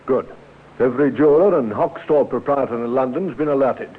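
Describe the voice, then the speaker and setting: An actor playing a calm, practical police inspector with an authoritative manner in a 1950s radio drama. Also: male voice, mid-range voice, fairly quick, husky, close to an everyday voice. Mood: businesslike. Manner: matter-of-fact, authoritative. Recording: tinny, noisy 1950s radio recording.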